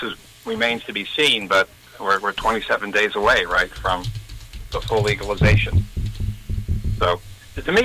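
Rambling man calling in, sharp tone. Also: fairly low pitch, a little hiss or hum, rough recording. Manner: rambling, hesitant, with restarts and fillers. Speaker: caller. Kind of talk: call-in radio comment.

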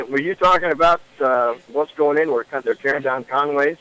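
A man's voice: on the high side, moderate pace, sharp tone, poor audio. A man, chatting casually as a caller to a radio show. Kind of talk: casual chat.

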